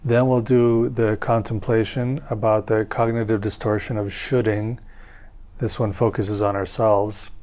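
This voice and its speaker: A man leading a guided meditation as a teacher, speaking in calm, soothing, measured phrases with pauses between them. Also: on the low side, muffled recording.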